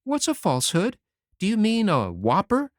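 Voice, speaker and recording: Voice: huge pitch swings, normal speed, dry recording, clean studio sound. A reader voicing a mischievous six-year-old boy who pleads and questions eagerly, in an audiobook. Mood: cheeky. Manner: high, eager childish pleading. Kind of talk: audiobook character voice.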